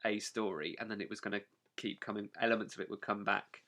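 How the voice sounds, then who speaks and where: Male podcast co-host: low pitch, bright forceful tone, wry and animated in casual conversation. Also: fast, slight background noise. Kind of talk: casual chat.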